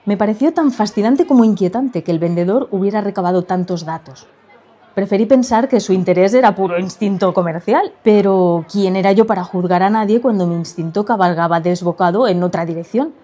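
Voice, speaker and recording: A woman's voice: medium pitch, a little fast, warm tone. A woman reading a first-person literary short story as a scripted narration.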